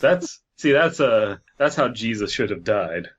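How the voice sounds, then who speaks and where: A man's voice: lowish voice, expressive, measured pace, a bit bright, slightly rough. Slightly muffled man, crude podcast banter. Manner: irreverent, crude riffing. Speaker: podcast co-host. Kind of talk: casual comedic chat with read-aloud horror story.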